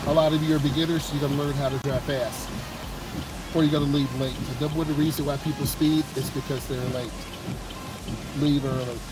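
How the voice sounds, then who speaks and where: A man with a stuffed-up cold calmly explains his driving to viewers while he drives a car in slow traffic. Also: a little high, recorded from a distance. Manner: calm, unhurried, explanatory. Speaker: driving instructor. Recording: music loud behind the voice.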